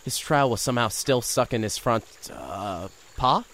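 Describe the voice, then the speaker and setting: A man's voice: medium pitch, animated, moderate pace, fairly bright tone, close to an everyday voice. A man playing a wry young reporter narrating. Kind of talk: audio drama narration.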